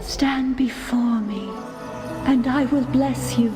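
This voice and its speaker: High-voiced, echoing serene goddess. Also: female voice, steady tone, takes it slowly. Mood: solemn, serene. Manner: stately, ceremonial. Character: serene, solemn goddess blessing a mortal. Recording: long cavernous reverb, music behind.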